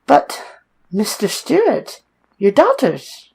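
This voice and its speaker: A woman's voice: on the high side, wildly swooping pitch, takes it slowly, vocal fry, a little mellow, breathy edge, heavily acted. A woman playing a timid, polite young newcomer in a radio suspense drama, meek, hesitant and deferential. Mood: hesitant, uneasy. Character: timid, polite, apologetic young woman starting a new job. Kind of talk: old-time radio suspense drama acting.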